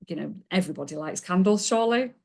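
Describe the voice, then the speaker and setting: A woman with a Yorkshire accent tells her story as a podcast guest. Her voice sits a little low, and she talks in a chatty, self-deprecating way, laughing at herself now and then. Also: expressive, quick pace, gravelly. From Yorkshire, England. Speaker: podcast guest, business coach. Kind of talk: casual interview storytelling.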